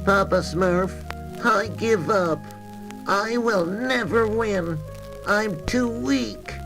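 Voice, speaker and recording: A small, timid cartoon creature for a storybook record. The voice is pitched high and sags with self-doubt, plaintive and slow, over music. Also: male voice, exaggerated voice, rough recording. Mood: defeated. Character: timid, defeated little male Smurf, weak and unsure.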